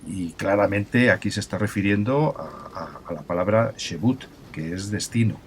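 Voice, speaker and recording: A man acting as an amiable scholar of biblical languages in an audio drama, with a lowish voice. He explains emphatically and formally, circling back and restarting his phrases, with faint background noise around him. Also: normal speed, lightly acted. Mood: explaining, certain. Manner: hesitant restarts, emphatic, didactic, formal address. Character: amiable male professor impassioned by ancient languages. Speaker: university professor of biblical languages. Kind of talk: naturalistic expert explanation, recorded interview.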